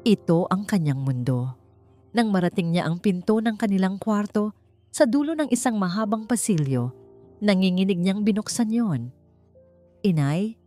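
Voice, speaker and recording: A woman with a Filipino accent reading a dramatic story in a measured, emotive way. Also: mid-range voice, lively intonation, dark tone. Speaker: audiobook narrator. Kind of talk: dramatic scripted story narration. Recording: narration over background music.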